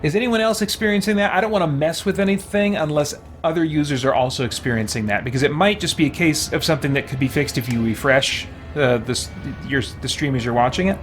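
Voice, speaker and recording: A man's voice: fairly high pitch, fast, few pauses, a bit bright. A man hosting a casual game livestream, rambling and thinking aloud as he chats with viewers. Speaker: game streamer, host. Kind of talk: casual livestream gameplay chat, reading viewer comments. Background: background music.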